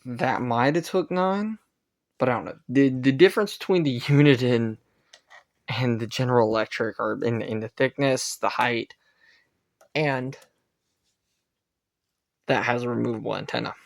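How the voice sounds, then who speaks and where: A man giving a casual, rambling hobbyist gadget review, halting and often correcting himself as he goes. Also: medium pitch, gravelly. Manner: halting, self-correcting, unscripted.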